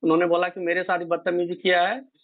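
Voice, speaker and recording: A man's voice: high-pitched, monotone, fairly quick. A man speaking assertively on a phone call, questioning and lecturing, mixing English words into his speech. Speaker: political activist confronting an official. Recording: tinny, band-limited phone call recording.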